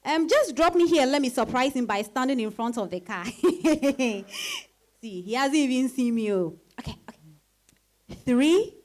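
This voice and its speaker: A woman performing an outspoken, teasing married friend in a dramatized reading, animated and exclamatory. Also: high voice, a little slow. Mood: playful.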